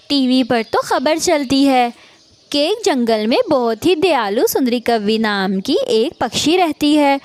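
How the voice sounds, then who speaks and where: A woman narrating a scripted cartoon story as its storyteller. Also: high-pitched.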